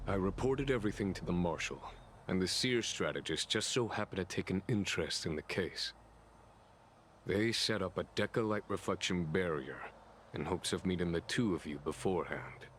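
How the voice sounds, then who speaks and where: An actor playing a calm, authoritative general in a video game, speaking in a composed, formal way. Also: male voice, low-pitched, normal speed, faint music behind. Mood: composed, firm. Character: calm, authoritative male general upholding the law. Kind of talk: dramatic video game voice acting.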